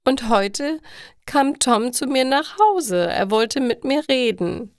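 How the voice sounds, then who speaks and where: A heartbroken young woman played by a female narrator laments in a storybook reading. Her voice is raised high and emphatic, slow and tearful, in a dry recording. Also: expressive, no room sound, exaggerated voice. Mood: hurt, upset.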